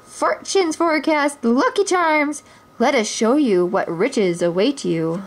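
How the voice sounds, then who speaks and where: A woman's voice: high voice, expressive, takes it slowly, a little hiss or hum, voice pushed up. A woman doing a bold cheerful outlaw hero. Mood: eager.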